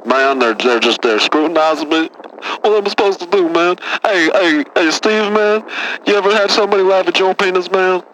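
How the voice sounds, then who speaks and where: A man's voice: high voice, a little fast, fairly bright tone, a little hiss or hum, low-quality recording. A man playing a boastful, touchy caller from Texas who says "man" after nearly every phrase, in a comedy radio bit. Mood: whiny, indignant. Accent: Texan.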